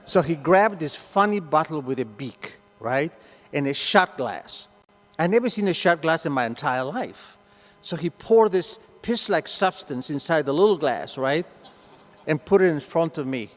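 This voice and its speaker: Lively older Argentine man telling stories. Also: a little high, a little slow, in a large hall. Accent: Argentine Spanish. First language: Spanish. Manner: animated, acts out voices in his stories. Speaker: recovery meeting speaker. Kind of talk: storytelling talk to a meeting. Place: meeting hall.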